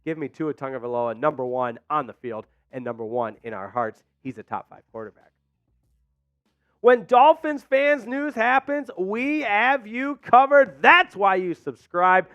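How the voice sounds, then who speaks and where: Energetic man hosting sports talk. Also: high voice, measured pace, no room sound, highs cut off. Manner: energetic, emphatic. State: losing his voice. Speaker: sports show host. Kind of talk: live sports talk show. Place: small studio, indoors. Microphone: headset mic.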